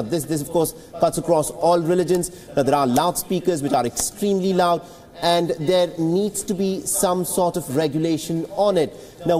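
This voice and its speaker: A man's voice: a little high, warm tone, big-room sound. A man in his thirties giving an earnest studio explanation as a news correspondent, often repeating words while he builds his points. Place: TV newsroom.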